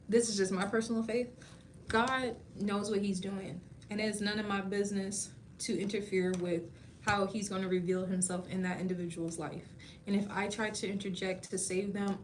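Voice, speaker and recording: A woman in her thirties talking casually to her livestream viewers, playful and chatty, calling them baby and laughing often. Her voice sits at an ordinary middle pitch. A faint hum of noise runs underneath. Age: 30s. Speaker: beauty school staff and livestream host.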